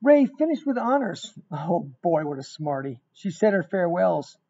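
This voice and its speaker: A middle-aged man reading a rhyming picture book aloud in an expressive, emphatic storytelling manner. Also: high-pitched, normal speed. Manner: emphatic, dramatic storytelling with repeated phrases. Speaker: guest reader of a children's book. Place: small indoor room. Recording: dull, lo-fi webcam sound.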